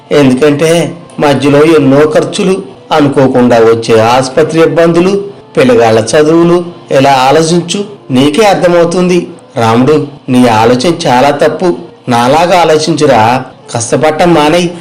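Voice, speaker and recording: Guy doing young village daydreamer in a moral tale, highish voice, earnest n boastful, chatty with pals. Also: a little mellow, close to an everyday voice, rough recording. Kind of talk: dramatized moral story dialogue.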